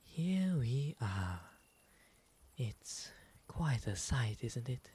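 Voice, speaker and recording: A man voicing a gentle, courteous owl demon gentleman in an ASMR roleplay, breathy and often whispering, with polite, formal, soothing phrasing. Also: mid-range voice, very slow, exaggerated voice. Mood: courteous, friendly. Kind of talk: ASMR roleplay, intimate slow delivery. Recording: faint steady background ambience noise.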